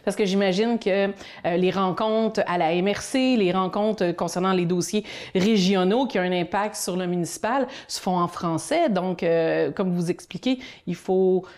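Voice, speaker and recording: A woman hosting a TV interview in a studio, asking questions politely and formally, with pauses. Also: lowish voice, darkish tone. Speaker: host. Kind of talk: TV talk show interview.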